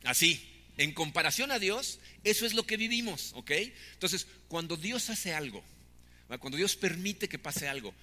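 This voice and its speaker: A man's voice: a little high, animated, moderate pace, pushed and bright, in a large hall. A man, preaching a sermon conversationally, often tagging questions onto his points. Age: 50s. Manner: conversational preaching with frequent "¿no?" tags. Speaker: pastor.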